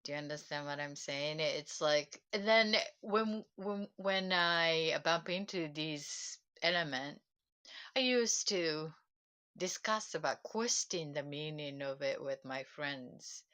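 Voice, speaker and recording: A woman with a Japanese accent speaks very slowly in a low, smooth voice. She talks in halting, reflective English, often pausing and searching for words during a solo livestream. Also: sharp tone. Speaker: self-help channel host.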